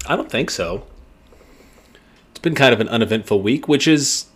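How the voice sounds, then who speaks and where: Woman co-host, 30s, very low voice, lively inflection, playful chat on a shared mic. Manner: playful, quick banter. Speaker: podcast co-host. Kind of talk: casual chat. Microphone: sharing one microphone.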